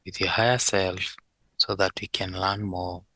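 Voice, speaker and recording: A man working as a hypnosis session guide, leading and questioning in a deliberate, soothing, measured way. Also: sits low, little pitch movement, sharp tone, no room sound, rough recording.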